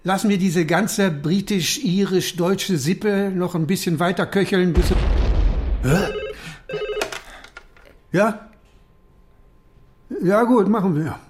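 An actor playing a seasoned police detective in a radio crime drama, talking in a dry, colloquial, wry way. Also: male voice, high-pitched, takes it slowly, long pauses, background noise.

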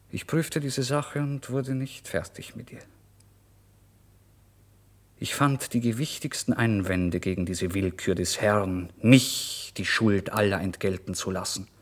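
An actor playing a tormented, starving man, bitter and brooding, in a deliberate, theatrical radio-play monologue. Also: on the low side, fairly bright tone. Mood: indignant questioning. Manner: brooding, deliberate, theatrical, swinging between murmur and outburst. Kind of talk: dramatic first-person literary monologue for radio play.

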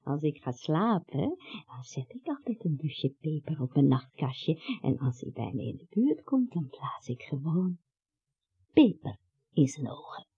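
A nagging, superstitious woman of the house in a comic radio play. She is husky and blunt, scolding. The sound is dull and old-fashioned lo-fi. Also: sits low, very animated, a little slow, exaggerated voice. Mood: conspiratorial, earnest. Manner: scolding, matter-of-fact. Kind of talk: comic radio drama acting.

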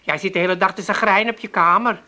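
An actress playing a scolding Amsterdam housewife. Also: female voice, mid-range voice, fast talker, bright, forceful tone, close to an everyday voice. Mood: complaining. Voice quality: sarcastic, scolding. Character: sharp-tongued Amsterdam housewife, fed up and nagging. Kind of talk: radio play acting.

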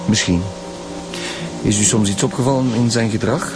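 Actor as low-voiced Flemish chief police inspector. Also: male voice, moderate pace, over music, lightly acted. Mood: firm, probing. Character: seasoned male chief police inspector, dry and businesslike. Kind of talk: naturalistic radio drama acting.

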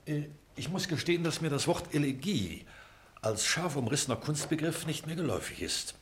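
An actor playing a middle-aged former pupil, bookish and formal, who speaks with hesitant precision. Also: male voice, fairly high pitch, animated, brisk, a little hiss or hum, close to an everyday voice. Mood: hesitant, earnest. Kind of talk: German literary drama acting.

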